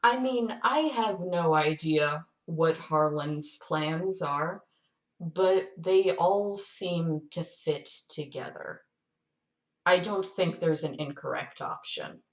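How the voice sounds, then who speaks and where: A woman's voice: low-pitched, expressive, takes it slowly. A woman guest chatting casually on a podcast, recorded in a roomy, echoing space.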